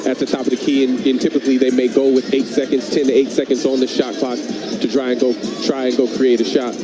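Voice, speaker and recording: A man's voice: mid-range voice, flat delivery, fast talker, noisy background. A man working as a color commentator, giving live game analysis in a conversational way, repeating words as he builds a point.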